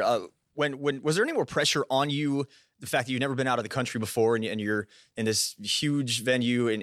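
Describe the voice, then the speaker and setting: A male host asks questions in an upbeat, friendly way. His pitch is mid-range and the recording is dry and close. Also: fairly bright tone, dry recording. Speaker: show host. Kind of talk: hosted interview.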